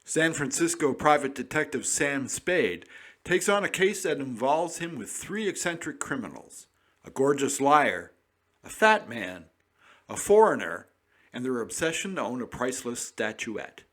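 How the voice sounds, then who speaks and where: A man's voice: medium pitch, sing-song, unhurried, fairly bright tone. A man reading a scripted promotional introduction as an announcer, dramatic and emphatic.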